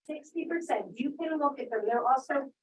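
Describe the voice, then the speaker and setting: A woman's voice: sits high, a little fast. A woman speaking earnestly at a town meeting, arguing her point with statistics. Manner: earnest, argumentative, cites statistics. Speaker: resident speaking at public comment. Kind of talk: public comment at a town meeting. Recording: distant voice with faint hiss.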